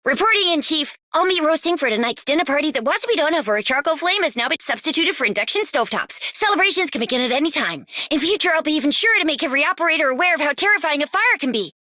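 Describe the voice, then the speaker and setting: A woman voicing a zealous, dutiful young subordinate in a game, reporting in a brisk, formal way. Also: high voice, animated, pushed and bright, dry recording. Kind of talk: game character voice line.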